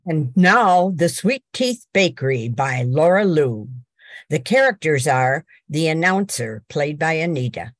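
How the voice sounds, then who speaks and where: A woman's voice: deep voice, animated, unhurried, no room sound, clean studio sound. An older woman playing the announcer of an old-time radio play, reading scripted scene-setting narration. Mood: matter-of-fact.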